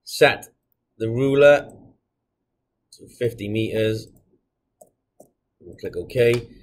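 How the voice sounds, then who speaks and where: A man in his thirties giving a casual software tutorial, explaining each step in a plain, informal way as a product demonstrator. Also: mid-range voice, drawn-out words. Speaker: product demonstrator for a security equipment supplier.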